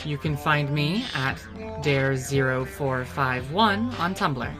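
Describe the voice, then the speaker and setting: A woman with a notably low voice reads scripted credits. Her announcing runs over prominent music, in the manner of an audio drama's closing. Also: slow. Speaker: credits announcer and cast member.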